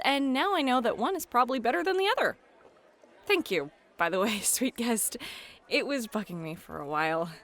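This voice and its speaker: A woman's voice: fairly high pitch, fairly bright tone. A woman playing a friendly, flirty club hostess and game master in an ASMR roleplay, chatty and playful. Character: friendly, flirty club hostess who runs tabletop games. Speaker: host and dungeon master.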